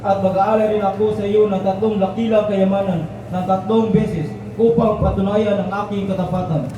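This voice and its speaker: Man as proud, selfish rich suitor of legend, high male voice, declamatory, far from mic in noisy echo. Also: steady tone, normal speed, lo-fi sound, close to an everyday voice. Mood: proud, declaring. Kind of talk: amateur radio drama acting. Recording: very echoey room, distant, clear noise.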